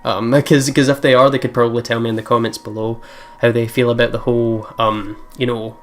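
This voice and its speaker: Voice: medium pitch, level tone, fairly quick. A let's play host casually commenting and reading game text aloud, informal and prone to swearing. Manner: chatty, informal, swears freely.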